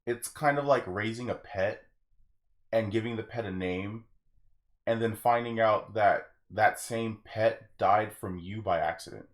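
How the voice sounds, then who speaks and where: A man's voice: lowish voice, measured pace. A young man hosting a gaming podcast, talking casually and giving his opinions in rambling stories with acted-out asides. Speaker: podcast host.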